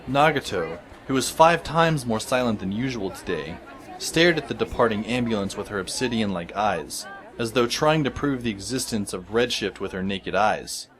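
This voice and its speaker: A man playing a sardonic, world-weary high school boy who narrates an audio drama in a wry, dry manner. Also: animated, normal speed, a bit bright. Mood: dry, musing. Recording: music behind the voice.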